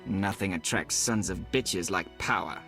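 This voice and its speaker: An actor playing a shrewd, proud young royal in a video game, speaking formally and with self-assurance. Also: male voice, low-pitched, measured pace, a bit bright, faint music behind. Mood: shrewd, self-assured. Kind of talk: video game dialogue acting.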